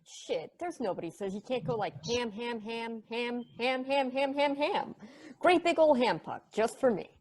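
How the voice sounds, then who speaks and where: A woman's voice: a little high, expressive, measured pace, darkish tone. A woman playing a laid-back, food-obsessed space probe in an amateur table read of a play. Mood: dreamy craving.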